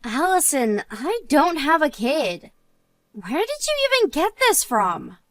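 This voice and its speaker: A woman's voice: high-pitched, very animated, takes it slowly, fairly bright tone, no echo, studio quality, heavily acted. A woman playing an earnest married woman and loyal friend in a scripted story drama. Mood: bewildered, defensive.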